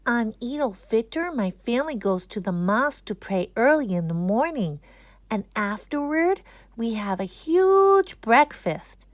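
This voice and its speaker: A woman's voice: fairly high pitch, big pitch swings, measured pace, no echo, voice pushed up. A woman voicing a happy, sincere young schoolboy explaining in a sing-song way, as in a children's storybook. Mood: happy, proud. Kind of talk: children's storybook read-aloud.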